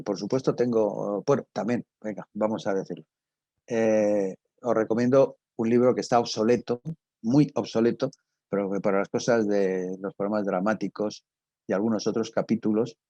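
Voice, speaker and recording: A man's voice: fairly low pitch, dark tone, no room sound, muffled recording. An older man with a Castilian Spanish accent giving an informal lecture, conversational and digressive, telling anecdotes and addressing his listeners directly. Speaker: lecturer, radio drama teacher.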